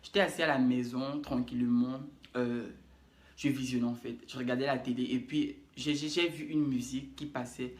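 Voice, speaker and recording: A young man with a Cameroonian French accent chats casually to camera, introducing himself. He rambles, repeats phrases and corrects himself as he goes. His voice sits at an ordinary pitch and rings in a roomy, echoey space. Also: fairly even intonation, moderate pace. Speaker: YouTuber. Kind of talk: casual self-introduction vlog. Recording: echoey room.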